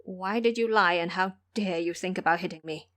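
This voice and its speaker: A woman plays a stern monk master in an audio storybook tale. He is cautious and admonishing, putting measured questions to his disciple. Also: fairly low pitch, a bit bright, close to an everyday voice.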